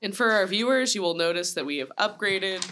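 A woman's voice: medium pitch, animated, fairly quick, background noise. A woman co-hosting a podcast in a casual, chatty style. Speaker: podcast host and producer.

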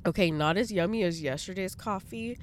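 A woman's voice: lowish voice, fairly quick, slight background noise. A young woman with a congested voice, chatting bluntly in a casual vlog from her parked car, swearing freely. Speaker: vlogger.

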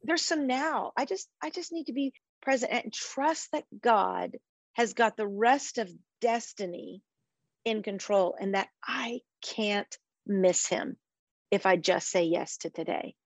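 A woman's voice: on the high side, a little slow, no echo. Passionate, preacher-like woman guest. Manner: passionate, preacher-like, quotes scripture. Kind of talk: faith-based interview conversation.

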